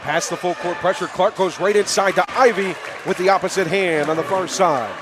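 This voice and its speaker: A man's voice: sits high, brisk. A man doing live radio play-by-play of a basketball game, backing the home team and exclaiming on big plays. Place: high school basketball gym. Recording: loud crowd and bouncing balls in gym. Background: loud crowd noise, basketball bounces.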